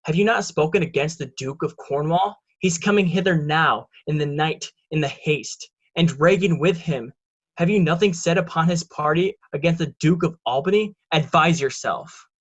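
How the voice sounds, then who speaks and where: Guy playing sneaky urgent shakespeare nobleman, kinda high voice, dull lo-fi sound. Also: moderate pace, fairly bright tone. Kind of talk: Shakespearean reader's theatre acting. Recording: dull, lo-fi voice recording.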